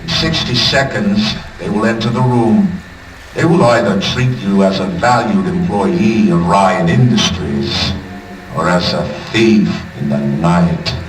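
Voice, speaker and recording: Game villain: menacing middle-aged male magnate, lowish measured voice, formal and imperious, distant with music behind. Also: noisy background. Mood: menacing bargaining. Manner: measured, pompous, coldly formal. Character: imperious, menacing male tycoon who rules a city. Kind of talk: dramatic video game villain speech. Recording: distant, echoing voice under music.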